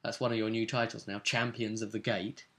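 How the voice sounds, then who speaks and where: A man speaking as game master, casually recapping a role-play session in a halting, emphatic way with repeated phrases. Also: lowish voice, little pitch movement, a bit bright, a little hiss or hum.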